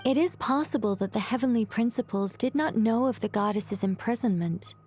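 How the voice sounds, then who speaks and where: A woman voicing a composed, wise character in a video game, recounting lore in a calm, measured way. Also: fairly high pitch, faint music behind, close to an everyday voice. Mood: solemn. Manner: calm, measured, solemn storytelling. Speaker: story character explaining lore.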